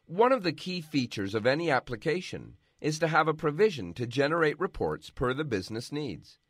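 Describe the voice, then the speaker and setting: A man giving scripted, measured narration for a software tutorial. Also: medium pitch, no echo, studio quality. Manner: measured, instructional.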